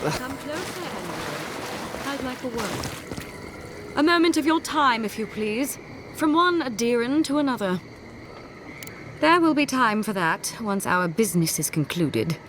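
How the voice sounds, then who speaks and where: A woman playing a smug, zealous inquisitor's agent in a fantasy game, speaking in a measured, formal and condescending way with courteous menace. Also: on the high side, sing-song, fairly bright tone, a little hiss or hum. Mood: mock polite. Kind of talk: scripted fantasy game dialogue.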